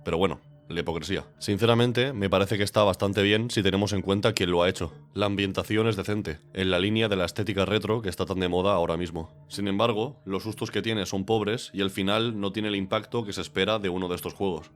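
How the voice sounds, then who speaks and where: A man's voice: low-pitched, fairly even intonation, fast. A man with a stuffy, congested voice from a cold, reading a scripted game review with dry, sarcastic humor. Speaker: game reviewer, channel host.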